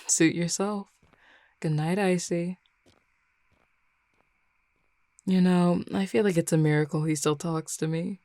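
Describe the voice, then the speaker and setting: A woman's voice: on the low side, fairly even intonation, unhurried, dark tone, no room sound, clean studio sound. A woman voicing a confident, flirty female werewolf in an audio roleplay, playful and teasing. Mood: playful, smug. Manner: playful, teasing, self-assured. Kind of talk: scripted audio roleplay acting.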